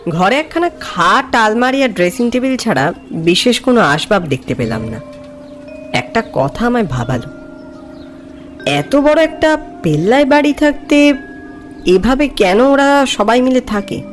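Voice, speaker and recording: A man's voice: very high-pitched, very animated, measured pace, pauses often, heavily acted. An actor playing a curious, polite male office junior who narrates his own horror story. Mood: calm storytelling. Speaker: narrator and character. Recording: music behind the voice. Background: background music.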